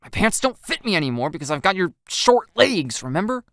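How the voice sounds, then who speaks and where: A woman's voice: fairly low pitch, very animated, a little fast, fairly bright tone, no room sound, studio quality, pitched above the performer's own voice. A woman voicing an embarrassed, grumpy teenage boy in a dramatized audio story, with a blunt, pouty, exasperated manner. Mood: sulky embarrassment.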